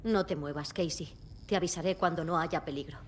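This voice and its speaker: A woman's voice: steady tone, fairly quick, lightly acted. An actress voicing a determined, direct investigator and protective mother in dramatic video game dialogue. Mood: resolute. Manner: direct, resolute. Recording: faint background rumble and noise.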